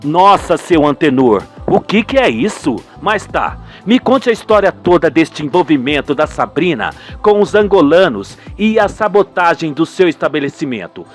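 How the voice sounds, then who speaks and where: A man's voice: fairly high pitch, very animated, normal speed, hardly any pauses, faint music behind, pitched below the performer's own voice. A man voicing a formal, probing Brazilian police investigator in a radio soap opera, composed and determined. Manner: official, probing.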